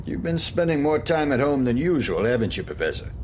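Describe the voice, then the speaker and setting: A man's voice: mid-range voice, big pitch swings, a little fast, slight background noise, lightly acted. A man playing a suspicious, insinuating police detective in an audio drama, probing and sardonic.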